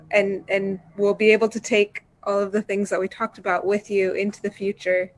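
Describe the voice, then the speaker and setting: A woman's voice: mid-range voice, rough recording. A woman speaking casually and unscripted as a guest speaker on a video call. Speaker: resource speaker.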